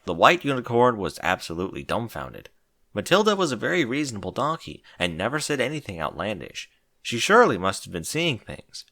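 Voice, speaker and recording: A man reading a story aloud as narrator in an expressive, scripted storytelling style. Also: lowish voice, fairly quick, no echo, studio quality.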